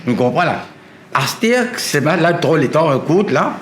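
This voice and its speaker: A man's voice: a little high, sing-song, moderate pace, reverberant. A man giving an impassioned speech about identity, declaiming and piling up lists of phrases.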